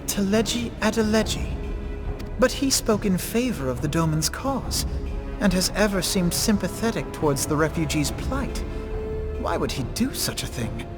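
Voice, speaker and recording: A man's voice: high voice, animated, normal speed, over music. An actor playing an earnest, polite, learned young man in a fantasy video game, speaking in a courteous, formal, inquiring way. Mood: surprised. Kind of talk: scripted video game drama. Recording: long hall-like reverb.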